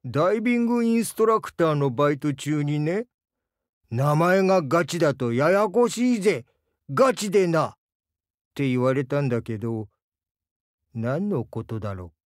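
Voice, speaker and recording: A man playing a gentle, bashful crocodile diving instructor in a Japanese game, earnest and hesitant, easily flustered. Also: fairly high pitch, very animated, moderate pace, dry recording, studio quality. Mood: calm, friendly. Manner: soft-spoken, hesitant, earnest, stammers when flustered. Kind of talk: Japanese game character voice lines.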